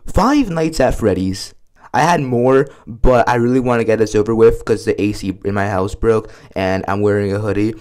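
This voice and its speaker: A young man with a fairly low voice talks through a casual video essay. His delivery is deadpan and tongue-in-cheek, partly scripted and partly off the cuff. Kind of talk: casual scripted commentary with ad-libs.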